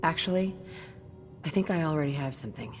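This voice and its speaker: A woman's voice: low-pitched, a little fast, soft background music, lightly acted. An actress playing a determined, no-nonsense woman adventurer in a video game, speaking plainly and firmly. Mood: resolute.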